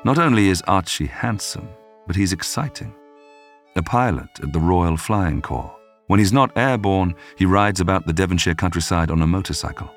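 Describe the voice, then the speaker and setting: Male podcast narrator, deep register, scripted documentary storytelling with measured phrasing, music bed behind. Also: lively intonation.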